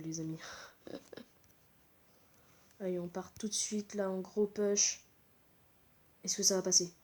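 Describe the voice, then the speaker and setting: Congested gamer commentating live. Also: female voice, mid-range voice, a little mellow. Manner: exclamatory, repeats short interjections. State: congested with a cold. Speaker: gaming channel host. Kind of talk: live excited gameplay commentary.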